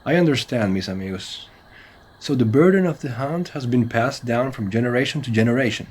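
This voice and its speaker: A man's voice: mid-range voice, brisk, darkish tone, slightly rough, lightly acted. A man playing a serious, cautious monster hunter with a Spanish accent, speaking in earnest, formal phrases with Spanish interjections in a comedy horror audio drama. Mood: grave, explanatory.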